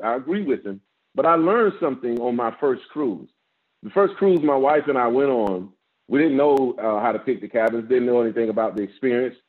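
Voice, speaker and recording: A man in his fifties, a guest pastor, preaching a measured sermon with a strong voice from an office over a video call, rising with emphasis and repeating words as he builds. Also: medium pitch. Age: 50s. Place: office with framed certificates, indoors. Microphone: video call, laptop camera. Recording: tinny phone-like video-call audio.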